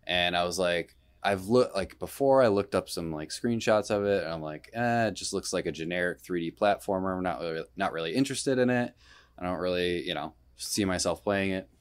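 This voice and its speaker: A man's voice: sits low, fairly quick, clean tone. A young man chatting casually about video games as a podcast host, in a rambling way full of hedges.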